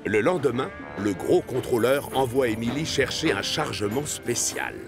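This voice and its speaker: A man narrating a children's cartoon story from a script, as an expressive storyteller. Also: medium pitch, moderate pace, creaky. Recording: music behind the voice.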